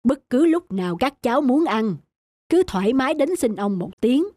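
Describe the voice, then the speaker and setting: A woman voicing a gruff but kindly old male vulture in a cartoon, scolding like a grandfather. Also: high-pitched, expressive, normal speed, dark tone, no room sound, studio quality. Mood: kindly advice. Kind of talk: cartoon voice acting. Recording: music behind the voice.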